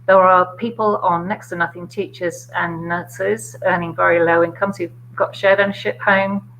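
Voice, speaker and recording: An older woman with a London middle-class accent, chatting casually about politics with many fillers and quick laughs. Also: fairly low pitch, little pitch movement, fairly bright tone, a little hiss or hum, low-quality recording. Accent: London middle-class English. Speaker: guest, former MP.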